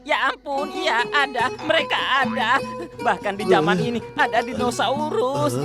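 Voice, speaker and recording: An actor voicing a greedy, scheming showman in a cartoon, excitable and conniving. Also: high voice, normal speed, lightly acted. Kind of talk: exaggerated cartoon dub. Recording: music behind the dialogue.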